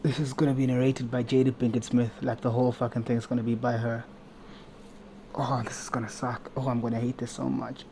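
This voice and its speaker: A man's voice: mid-range voice, level tone, fairly quick, warm tone, a little hiss or hum. A man hosting a reaction video, talking casually in a sarcastic, exasperated way and swearing.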